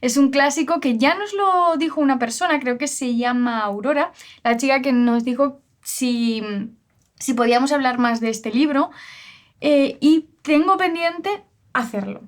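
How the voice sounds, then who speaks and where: A woman's voice: sits high, a little slow. A woman from La Mancha with an accent from Spain, co-hosting a casual book discussion in an enthusiastic, chatty way. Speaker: co-host of a bookshop's book channel.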